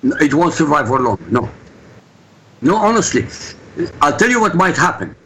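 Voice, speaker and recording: A man's voice: fairly high pitch, very animated, moderate pace, fairly bright tone, slight background noise, poor audio. A man from Iraq, a guest answering interview questions in an emphatic, insistent way. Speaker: guest commentator.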